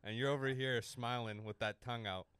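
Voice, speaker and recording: Young man, teasing, crude banter, mid-pitched, dry close sound. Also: steady tone, fairly quick, fairly bright tone, clear, resonant tone, dry recording. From Canada. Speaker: host of a stream chatting with strangers. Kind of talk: casual banter.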